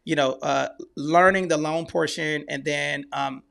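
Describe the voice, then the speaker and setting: A man's voice: a little high, steady tone, unhurried, vocal fry, a bit bright. A man hosting a podcast interview, enthusiastic and speaking straight to listeners. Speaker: podcast host.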